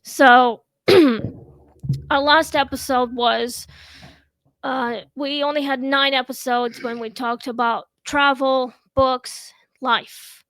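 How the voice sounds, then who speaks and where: A woman in her thirties from Russia, hosting a casual home chat show and talking in a slow, halting way with many pauses. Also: sits high, steady tone, a bit bright, dry recording. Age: 30s. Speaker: host.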